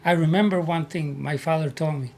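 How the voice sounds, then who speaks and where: A man from Puerto Rico with a Puerto Rican Spanish accent, telling his life story as an interview guest in earnest, halting English. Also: fairly high pitch, a little mellow. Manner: earnest, repeats words as he searches for English. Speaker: guest, army veteran.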